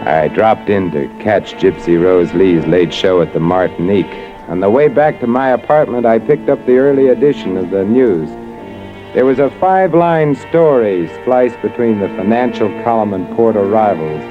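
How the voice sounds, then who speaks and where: An actor playing a hard-boiled, cynical newspaper columnist in a 1940s radio noir, curt and sardonic. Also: male voice, fairly low pitch, moderate pace, natural voice. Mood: brooding. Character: hard-boiled, cynical male newspaper columnist narrating his own nightmare. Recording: dull old radio recording, music behind.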